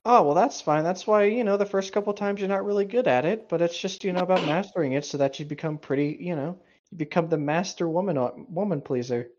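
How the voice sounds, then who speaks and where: A man's voice: sits high, fast talker, hardly any pauses, darkish tone, lo-fi sound, a little hiss or hum. A man co-hosting casual, joking banter, mocking and swearing freely. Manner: profane, mocking. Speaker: co-host reading and mocking internet horror fiction.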